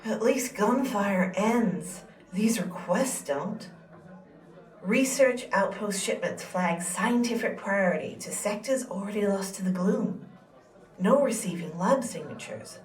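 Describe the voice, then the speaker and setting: An actress playing a sober, cynical soldier, terse and matter-of-fact, in a sci-fi military audio drama. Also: female voice, medium pitch, animated, slow pace, darkish tone, echoey room, recorded from a distance. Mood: grim, cynical. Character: sober, cynical female soldier reporting findings.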